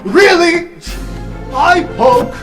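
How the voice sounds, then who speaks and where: A tabletop player voicing a grumpy, excitable, blunt and dramatic student. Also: very high voice, drawn-out words, roomy sound, pitched above the performer's own voice, full character voice. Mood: outraged. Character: grumpy, excitable, easily spooked student called Rampart. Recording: music behind.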